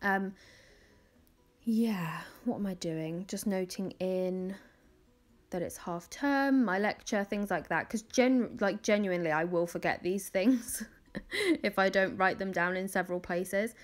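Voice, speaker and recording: A young woman in her twenties talks casually through her planning session in a voice-over. Her voice is mid-pitched and a little crackly from the early hour. She rambles comfortably, with plenty of ums and small laughs. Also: measured pace, soft background music. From England. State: crackly morning voice. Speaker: planner vlogger.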